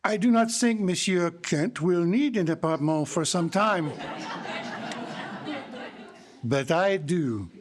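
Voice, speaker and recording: An actor playing a courtly, self-satisfied Belgian detective with a French-speaking accent, explaining precisely in a theatrical live mystery drama. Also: male voice, sits high, unhurried, crowd noise. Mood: courtly, wry. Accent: French-speaking Belgian, put on. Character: Belgian detective, courtly, pompous, confident man. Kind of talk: live radio-style mystery drama performance. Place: public library live stage before an audience.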